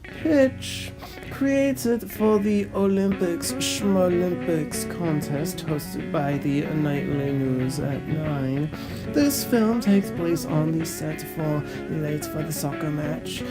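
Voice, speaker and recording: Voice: medium pitch, slow pace, loud music behind the voice, rough recording. A narrator reading a script in a formal, pompous, long-winded and droning way. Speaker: narrator and host. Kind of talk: scripted narration of a cancelled film pitch.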